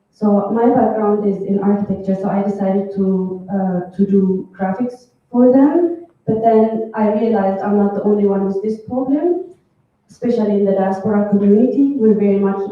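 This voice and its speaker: A woman, speaking English as a while pitching a project at a live event, pausing often with ums and restarts. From Ethiopia. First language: Amharic. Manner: hesitant, many ums and restarts. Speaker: hackathon participant pitching a project. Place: large room at a live event. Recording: echoey room from a distance, tinny phone-like sound.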